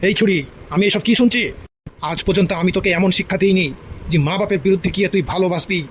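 Casting: domineering old cartoon father played by a man, extremely high voice, quick, indignant scolding. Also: steady tone, slight background noise, natural voice. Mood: stern, scolding. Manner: scolding, indignant, stuttering repeats. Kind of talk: exaggerated comedy cartoon dialogue.

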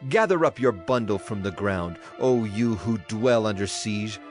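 Solemn male Bible narrator, measured delivery, light music underneath. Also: on the low side, animated. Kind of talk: dramatized scripture reading.

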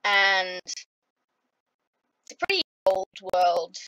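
A woman with an Australian accent, chatting casually as she plays a computer game, with lots of ums and sudden excited outbursts. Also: medium pitch, very slow, dry recording, low-quality recording. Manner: rambling, many ums, bursts of playful excitement. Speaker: gaming vlogger.

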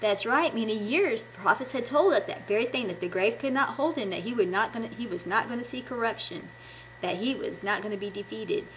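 A woman's voice: a little high, fairly quick, a bit bright, slight background noise, poor audio. A woman in her fifties giving an enthusiastic devotional talk to children, recorded on a webcam at home. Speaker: children's ministry puppet presenter. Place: small room at home. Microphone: webcam at arm's length.

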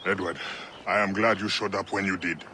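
A grave, resolute assassin chief from a video game. His voice sits very low and he speaks in measured, solemn phrases over clear outdoor background noise. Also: level tone, sharp tone. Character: grave, resolute male assassin leader planning revenge. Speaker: assassin bureau leader. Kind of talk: video game drama acting.

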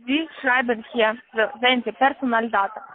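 A woman with a foreign accent in German, giving insistent, halting, repetitive step-by-step instructions as a call centre agent on a phone call. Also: on the high side, fairly even intonation, crowd noise. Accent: foreign, non-native German. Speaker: call centre agent selling a trading account. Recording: tinny band-limited phone line.

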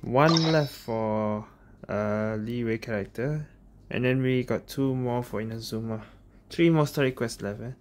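Very low-voiced woman commenting on gameplay. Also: unhurried, slight background noise. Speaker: gaming streamer. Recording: loud game music behind voice.

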